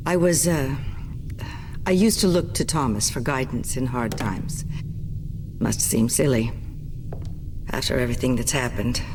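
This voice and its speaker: Middle-aged chairwoman, poised, measured, formal, firm, video game drama, faint background noise. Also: a bass voice. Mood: uneasy.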